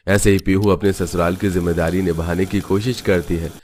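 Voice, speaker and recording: A man's voice: sits low, flat delivery, brisk, a little mellow, a little hiss or hum. A man giving scripted narration for a cartoon family story.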